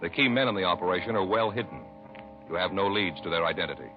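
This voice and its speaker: A man working as a 1950s radio announcer, reading scripted introductions and ads with deliberate emphasis. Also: deep voice, lively intonation. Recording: lo-fi vintage radio, loud music.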